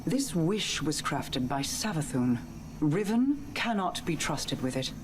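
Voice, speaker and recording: A woman's voice: low voice, sing-song, slight background noise, exaggerated voice. A wary, regal queen. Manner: measured, regal. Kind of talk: video game cutscene acting.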